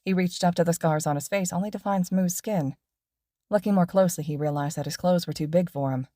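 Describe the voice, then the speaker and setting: A woman reading fan fiction aloud as an audiobook narrator, in a steady storytelling manner. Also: fairly low pitch, fairly quick, no room sound, clean studio sound. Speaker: podfic reader.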